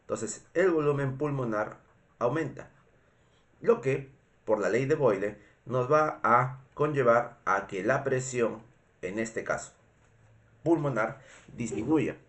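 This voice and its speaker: A man with a Peruvian Spanish accent teaches in an informal lecture style. His voice sits at a medium pitch and sounds congested. He explains step by step, repeating key phrases and checking in with short questions. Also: takes it slowly. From Peru. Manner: didactic, repetitive, frequent checking questions. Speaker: medical instructor.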